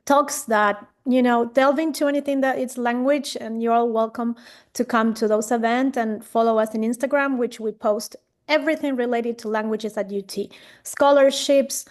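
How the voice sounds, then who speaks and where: A woman's voice: a little high, steady tone, moderate pace. A woman program coordinator giving a brief, friendly, informal introduction on a video call.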